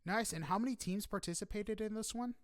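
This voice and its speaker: A man hosts a casual interview podcast in a fairly high, very clear voice. He is upbeat and chatty, encouraging as he talks. The sound is dry and close, with little room to it. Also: fairly quick, dry recording.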